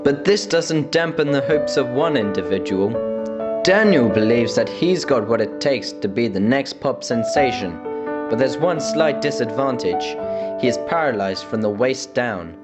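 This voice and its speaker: Casual deadpan teen lad doing a spoof show voice-over, mid pitch, music loud behind. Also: male voice, a little slow. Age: teens. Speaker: narrator. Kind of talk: mock talent-show voice-over.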